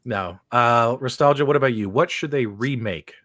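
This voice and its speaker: A middle-aged man hosts a live-streamed chat in a loose, rambling way and keeps checking in with his co-hosts. His voice sits at an ordinary pitch. The sound is dry and close, with no room echo. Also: moderate pace, a bit bright. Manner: loose, rambling, asks the others questions. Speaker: podcast host.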